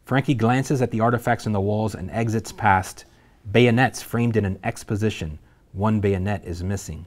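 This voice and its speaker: A man reading a screenplay's stage directions aloud at a table reading, steady and vivid. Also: lowish voice.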